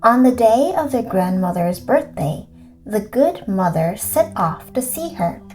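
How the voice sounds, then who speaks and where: Female storyteller for children's read-aloud: mellow, unhurried, deliberate, soft music underneath. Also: medium pitch, sing-song, low-quality recording. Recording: music behind the voice.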